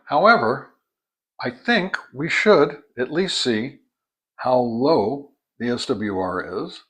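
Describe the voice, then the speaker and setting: A man's voice: mid-range voice, husky. A man giving a casual product review and test demonstration, explaining each step methodically as a hobbyist reviewer. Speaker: ham radio product reviewer.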